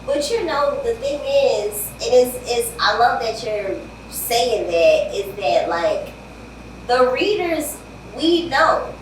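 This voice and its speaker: A chatty woman hosts a casual interview, slangy and drawing out her asides. Her voice sits a little high. It rings with heavy room echo from a distant mic, with a faint hiss. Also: expressive, takes it slowly. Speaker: host.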